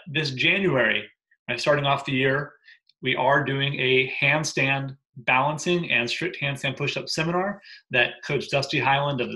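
A man in his thirties, a gym programming coach, briefing gym owners from a living room on his laptop webcam, emphatic and energetic with frequent "right?" asides. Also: medium pitch, rough recording.

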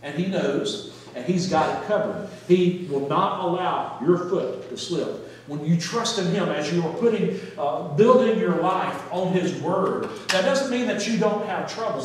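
Male pastor, middle-aged, fairly high voice, folksy sermon delivery, very reverberant distant church recording. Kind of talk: sermon with scripture reading. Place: church sanctuary, speaking from pulpit and floor. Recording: echoey church hall, distant mic, faint noise.

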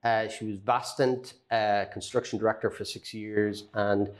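A man's voice: fairly low pitch, little pitch movement, unhurried. A middle-aged man as a guest in a studio chat, talking casually with lots of fillers and repeated words, on a lapel mic. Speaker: guest HR consultant. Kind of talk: casual chat on business news. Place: indoor studio with brick wall.

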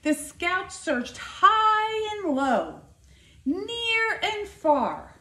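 A middle-aged woman reading a children's picture book aloud in an expressive, deliberate way, stressing key words. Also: very high voice. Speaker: school nurse reading to children. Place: indoor living room by a fireplace. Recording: echoey living room.

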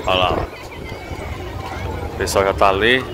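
A man's voice: sits low, measured pace, noisy background. A man in his thirties casually narrating a walking vlog on a phone outdoors at an evening event. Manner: relaxed, chatty narration while walking. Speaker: vlogger. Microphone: phone held by hand. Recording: outdoor phone audio, loud music and crowd. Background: crowd chatter and loud music.

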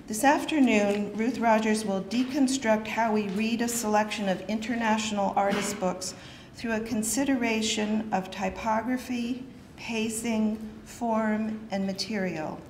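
A woman's voice: on the high side, steady tone, measured pace, a little mellow, slight background noise. Middle-aged woman reading an introduction. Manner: reads a list of titles, with brief asides. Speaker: event host introducing the speaker. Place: lecture hall with wood paneling. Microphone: podium microphone. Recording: echoey hall, faint ambience.

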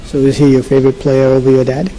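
A man's voice: medium pitch, monotone, quick pace, mellow, faint music behind, rough recording. A man asking sports interview questions in a friendly, conversational way into a handheld mic. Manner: friendly, conversational, hesitant fillers.

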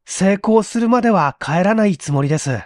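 A man's voice: sits high, expressive, normal speed, a little mellow, no room sound, studio quality, voice pushed up. A man playing a polite, determined nineteen-year-old male immigrant in an audio story. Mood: earnest, polite.

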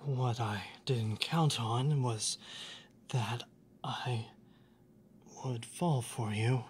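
Acted reserved young kuudere classmate, lovesick, soft near-whisper, halting formal speech, very unhurried, ASMR roleplay, light noise. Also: medium pitch, steady tone. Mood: wistful, restrained. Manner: hesitant, formal wording, sighing, often near whisper.

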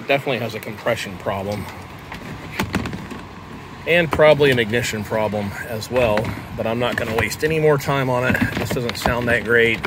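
A middle-aged American man giving casual, dryly humorous commentary while working hands-on outdoors. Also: medium pitch. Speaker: host of a car repair channel. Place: outdoors beside a car. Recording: clearly noisy outdoor recording.

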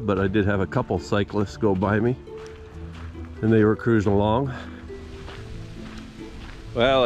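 Older guy, lowish voice, chatting outdoors on a walk, kinda understated, music in the background. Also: a little mellow. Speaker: vlogger. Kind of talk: casual outdoor walking narration. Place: outdoors on a rural trail in rain. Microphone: handheld camera at arm's length.

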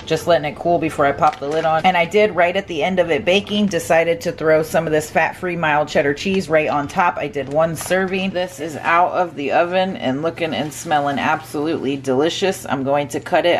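A chatty, upbeat woman talks through each cooking step in a casual voice-over. Her voice sits fairly low for a woman. A faint hum of room sound lies behind her. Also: fairly even intonation, moderate pace, few pauses. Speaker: cooking vlogger. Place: home kitchen.

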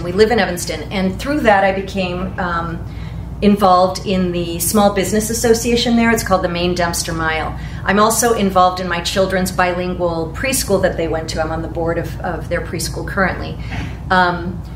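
Earnest woman in an echoey meeting room. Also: medium pitch. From Ecuador. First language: Spanish. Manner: earnest, gestures while explaining. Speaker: real estate agent, guest speaker. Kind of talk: panel interview. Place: meeting room in front of a large screen. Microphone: far camera mic. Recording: echoey room from far off, faint noise.

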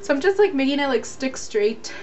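A woman's voice: sits high, lively intonation, a little fast, a little mellow. A young American woman vlogging in a casual, rambling way, talking into a handheld camera at home. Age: young adult. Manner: informal, rambling, frequent "like". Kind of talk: casual chatty vlog. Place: small rooms at home, closet and bedroom. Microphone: handheld camera at arm's length. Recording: dull, noisy handheld camera audio.

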